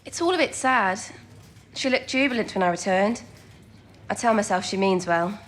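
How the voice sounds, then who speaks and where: A young woman playing a bright, affectionate twenty-year-old codebreaker in a period wartime radio drama. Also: mid-range voice, moderate pace, lightly acted. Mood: earnest, affectionate. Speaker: actor in an audio drama.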